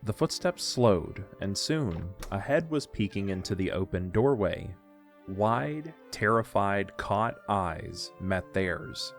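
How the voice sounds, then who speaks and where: A man's voice: lowish voice, expressive, slow pace, faint music behind. A man narrating a scripted story for an audio drama.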